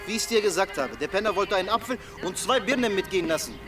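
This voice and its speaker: An actor playing a combative fruit-shop worker in a radio crime drama, indignant and aggressive. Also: male voice, high-pitched, expressive, fast. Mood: angry, indignant. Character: hot-tempered male Turkish fruit-shop worker, combative. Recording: distant mic, music playing behind.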